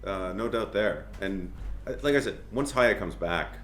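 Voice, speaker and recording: A man with a very low voice talks as a podcast guest in a relaxed, easygoing way, poking fun at himself now and then. The room around him is noticeably echoey, with a faint hiss underneath. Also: moderate pace. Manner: easygoing, self-deprecating. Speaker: podcast guest, hockey player. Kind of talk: casual chat.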